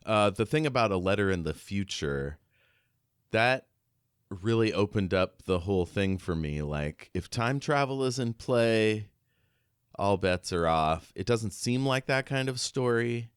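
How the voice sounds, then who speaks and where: Male co-host for casual book chat, low-pitched and clear, close dry sound. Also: expressive, a little slow, dry recording. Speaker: podcast co-host.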